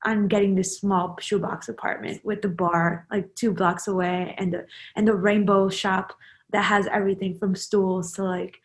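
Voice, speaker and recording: A woman's voice: medium pitch, little pitch movement, moderate pace. A woman in her twenties, a guest in a casual interview, talking in a rambling way with many fillers and restarts. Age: 20s.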